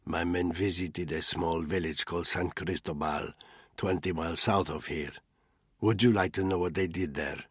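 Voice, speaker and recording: A male narrator voicing a menacing middle-aged cartel boss who talks in a cold, hoarse near-whisper. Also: low-pitched, normal speed, no room sound, clean studio sound. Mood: flat cruelty. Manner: cold, quiet, deadpan threats.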